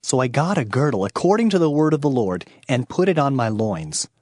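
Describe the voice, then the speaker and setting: An actor playing a fervent, sorrowful Hebrew prophet, narrating scripture in a solemn, declamatory, emphatic way. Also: male voice, mid-range voice, big pitch swings, a little fast, darkish tone, no echo, clean studio sound. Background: music.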